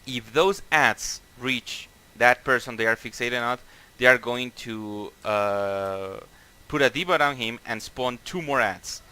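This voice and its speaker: A man's voice: medium pitch, slow pace, sharp tone, clean tone, poor audio. A man with a Mexican accent leading a game raid over voice chat, explaining boss tactics casually with swearing and lots of fillers. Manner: casual, profane, many fillers and restarts. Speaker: raid leader.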